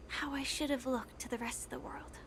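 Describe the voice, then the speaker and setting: Joven dulce de voz aguda y susurrada. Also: female voice, high-pitched. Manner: soft, hushed, confiding. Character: gentle young woman, earnest and soft-spoken. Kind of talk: game voice acting. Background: game music.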